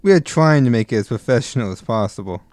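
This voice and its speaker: A man's voice: mid-range voice, lively intonation, fast talker, a little mellow, no echo. A man speaking as a podcast guest in light interview banter, with dry, joking delivery. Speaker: interview guest, audio drama producer.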